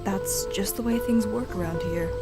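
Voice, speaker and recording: A woman's voice: mid-range voice, moderate pace, natural voice. A woman playing a guarded, cynical entity in an audio drama, curt and admonishing. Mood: cold, warning. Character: guarded, cynical, edgy facility entity, warning coworker. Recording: loud music under the voice.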